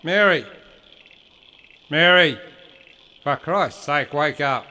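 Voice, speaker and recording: A man's voice: on the high side, animated, slow pace, pushed and bright, big-room sound, heavily acted. An actor in a radio play playing a stern, exasperated family man with a curt, scolding manner. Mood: fed up.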